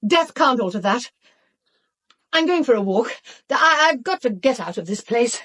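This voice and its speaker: High, husky, sharp-tongued British woman. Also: animated, moderate pace. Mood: bitter, agitated. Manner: blunt, emphatic. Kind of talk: radio drama acting.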